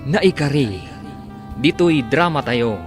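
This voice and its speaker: Loud music plays behind a male radio drama announcer. He has an Ilocano accent and a mid-pitched voice. He narrates from a script with theatrical emphasis. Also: animated, slow pace. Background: music under the voice.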